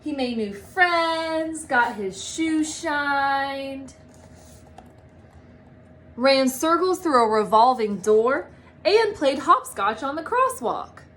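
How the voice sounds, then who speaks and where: A woman reading a story aloud to children like a teacher, with animated, drawn-out storybook phrasing. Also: sits high. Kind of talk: expressive read-aloud storytelling.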